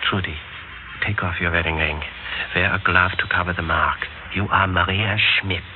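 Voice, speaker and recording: An old, thin-sounding radio recording with heavy noise carries a deep male voice. An actor plays a German husband, smooth and scheming, quick to grow impatient, in classic radio drama manner. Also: fairly even intonation, lightly acted. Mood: urgent, tender. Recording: noisy, narrow-band old radio recording.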